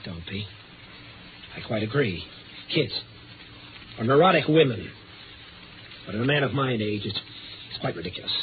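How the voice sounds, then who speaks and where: An actor playing a desperate, panic-stricken man afraid of the dark, with halting, anguished delivery in a 1950s radio drama. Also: medium pitch, expressive, natural voice. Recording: noisy, phone-like old radio recording.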